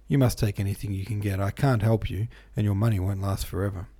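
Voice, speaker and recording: Anxious young guy pleading hard, read by a man, low warm voice. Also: steady tone, quick pace, slightly rough. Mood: impatient. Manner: urgent and impatient.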